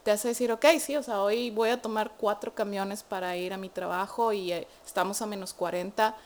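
Young Mexican woman, lively guest storyteller, faint background noise. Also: medium pitch, normal speed. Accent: Mexican Spanish. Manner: animated storytelling with many fillers. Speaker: guest, immigrant to Canada. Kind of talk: casual interview chat.

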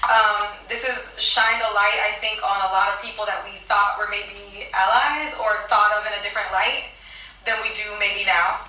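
A woman's voice: mid-range voice, fairly even intonation, normal speed, pushed and bright. A woman co-hosting a casual discussion podcast, speaking earnestly and emphatically about personal experiences. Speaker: podcast co-host. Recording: echoey room, distant mic.

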